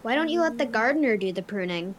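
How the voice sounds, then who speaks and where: A woman's voice: fairly high pitch, expressive, fast talker. A woman playing a creepy, polite young ghost boy in a horror audio drama. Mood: eerie, meek.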